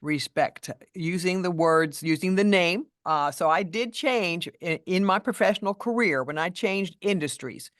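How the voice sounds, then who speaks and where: A middle-aged woman voice coach with a deeper voice than many women, speaking in a measured, thoughtful way as a guest in a casual interview. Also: no room sound, clean studio sound. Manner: measured, thoughtful, with restarts and fillers.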